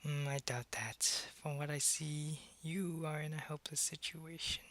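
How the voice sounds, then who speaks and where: A man playing a suave, possessive, centuries-old vampire in an ASMR roleplay, taunting and condescending, often in a breathy half-whisper. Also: on the high side, fairly even intonation, a bit bright. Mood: taunting, smug. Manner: slow, deliberate, taunting, condescending.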